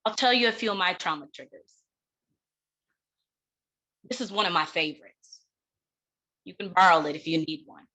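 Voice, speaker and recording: A woman delivering a keynote speech in a deliberate, emphatic manner, breaking phrases with dramatic pauses. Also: fairly low pitch, expressive, sharp tone, poor audio. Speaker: keynote speaker, counseling clinician.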